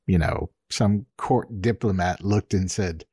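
A man co-hosting a podcast in casual chat, joking with animated, exaggerated asides. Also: deep voice, measured pace, slightly rough, dry recording, studio quality. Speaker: podcast co-host.

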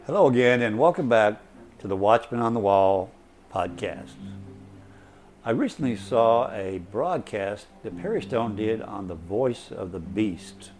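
A man's voice: on the low side, expressive, a little slow, a little mellow. A man speaking as a podcast host, introducing an episode in a conversational style. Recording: music behind the voice. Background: music.

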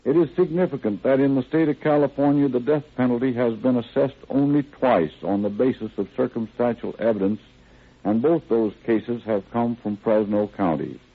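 A man, a county sheriff, reading a formal scripted foreword stiffly, halting over words. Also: medium pitch, breathy edge. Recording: tinny 1930s radio recording.

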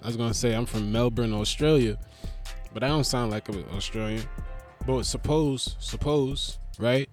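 A man's voice: mid-range voice, normal speed, soft background music. A man hosting his own podcast, rambling in a casual, profane, joking way and restarting his intro. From Brooklyn. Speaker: podcast host.

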